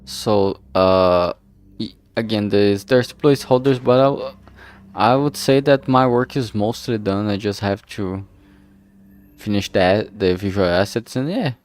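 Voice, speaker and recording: A man giving a casual, unscripted livestream update in a halting, rambling way, often trailing off mid-phrase. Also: lowish voice. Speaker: streamer, visual novel maker.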